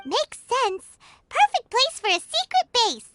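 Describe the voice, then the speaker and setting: A woman voicing an excitable little female companion creature in a video game, who speaks of herself in the third person with a bubbly manner. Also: extremely high pitch, measured pace, dry recording, soft background music, heavily acted. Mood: curious chirpy.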